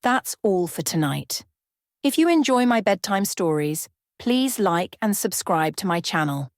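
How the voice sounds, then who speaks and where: A woman narrating a scripted ASMR bedtime story in a measured, hushed dramatic reading, close to the microphone. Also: medium pitch, very animated, no room sound. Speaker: storyteller, channel host.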